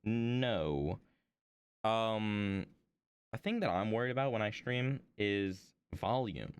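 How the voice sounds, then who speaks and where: A man streaming from home while he draws, chatting about his desk microphone in a rambling, self-deprecating way, his voice a little hoarse. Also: takes it slowly, dry recording. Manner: rambling, self-deprecating, lively swings in pitch. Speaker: art streamer. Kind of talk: casual stream chat.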